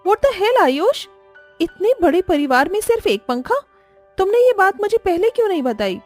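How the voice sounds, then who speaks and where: A woman's voice: extremely high pitch, very animated, normal speed, a little mellow, faint music behind, pitched above the performer's own voice. A woman voicing a spoiled rich young bride, dramatic and exasperated, who drops English exclamations into her complaints, in a storybook cartoon. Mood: outraged.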